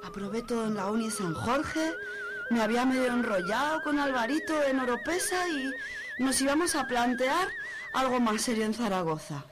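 Woman acting a high, swooping, anguished posh student girl. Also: wildly swooping pitch, normal speed. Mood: anguished, confused. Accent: Spain. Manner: dramatic, sighing inner monologue. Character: anguished young female university student, pious and posh. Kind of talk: radio soap opera acting. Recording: music under the voice.

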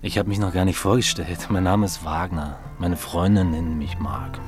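A low-voiced, suave man of means played by an actor in a radio play. He is sociable and generous, used to giving orders in an easy way. Music plays clearly behind him. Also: steady tone, moderate pace, lightly acted. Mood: sociable, assured. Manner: suave, casually commanding. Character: smooth, commanding wealthy male hotel-bar regular, generous and sociable. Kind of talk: German radio drama acting.

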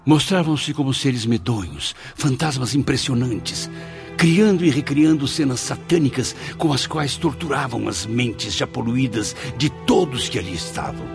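A man's voice: medium pitch, lively intonation, unhurried, gravelly, music in the background. A man playing a remorseful, tormented soul in a radio drama, speaking with grave theatrical delivery. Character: anguished, remorseful man tormented in the afterlife, also narrating.

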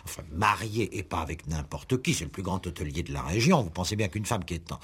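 Deep, husky, puzzled judge. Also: male voice, lively intonation, fairly quick, fairly bright tone, exaggerated voice. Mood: baffled. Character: troubled, puzzled investigating judge. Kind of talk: 1960s French radio play acting. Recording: old radio drama recording.

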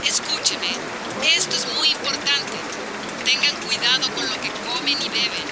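An actress playing a firm, caring doctor giving emphatic instructions by two-way radio in a drama. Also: female voice, sits high, moderate pace, over a noisy crowd, natural voice. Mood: firm, instructive. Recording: loud rainy noise behind voice.

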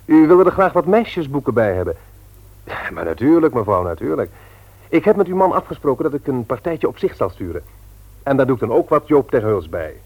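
Husky young husband in radio drama. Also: male voice, medium pitch, sing-song, a little fast, narrow, tinny audio. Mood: polite, pleased. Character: young husband and bookseller, good-humoured, deferential to uncle. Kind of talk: Dutch radio play acting.

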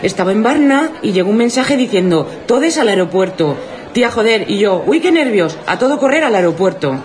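Flustered, animated young Spanish woman, played by an actress. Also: medium pitch, a little fast, some chatter behind, close to an everyday voice. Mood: agitated. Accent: Spanish, from Spain. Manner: breathless exclamations, slangy repetition.

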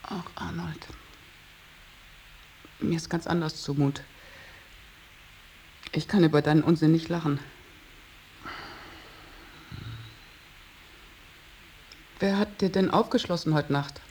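A woman's voice: sits low, fairly even intonation, normal speed, pauses often, darkish tone, slight background noise. An actress playing an anxious, reproachful middle-aged mother in a German radio drama, pleading and scolding. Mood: worried, pleading. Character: anxious, reproachful mother of a wayward adult son.

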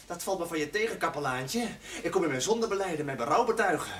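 A man's voice: a little high, animated, fast talker, close to an everyday voice. A man playing an insolent young penitent fresh out of prison in a television drama, mocking and provocative.

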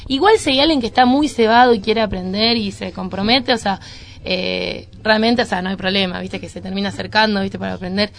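A woman's voice: medium pitch, a little fast, creaky, slight background noise. A woman with a Buenos Aires-area Argentine accent chatting in a radio interview in a rambling, colloquial way full of little tag words. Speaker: band member, preschool teacher. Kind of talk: casual radio interview chat. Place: radio studio.

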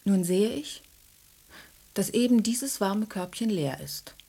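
An actress playing a self-possessed, comfort-loving female cat in a radio drama, musing in a genteel, theatrical way with a husky, breathy voice. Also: mid-range voice, lively intonation, slow, mellow, heavily acted. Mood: smug, confiding.

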